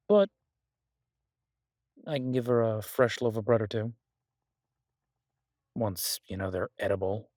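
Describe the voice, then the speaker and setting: A man performs a charming male crime boss in an intimate audio roleplay, recorded very dry with no room sound. His voice sits at a middle pitch as he rambles casually, pausing and hesitating, slipping between self-mockery and playful boasting. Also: a little mellow, no echo, close to an everyday voice. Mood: fond, playfully smug. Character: charming wry male mafia boss, restless in hiding.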